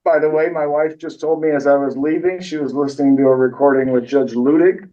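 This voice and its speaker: A man with a slightly high voice hosts a meeting in a room, relaxed and joking. He is heard from some distance on a dull, muffled recording. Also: brisk. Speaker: meeting host. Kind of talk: casual meeting announcements. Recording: dull audio, voice from far off.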